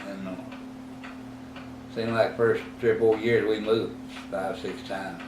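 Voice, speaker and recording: A man in his eighties, slowly recalling his past in an interview, rambling and halting with many fillers and self-corrections. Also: lowish voice, level tone. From Texas. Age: 80s. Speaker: oral history interviewee. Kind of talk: reminiscing in a recorded interview. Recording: roomy, distant mic with clear background noise.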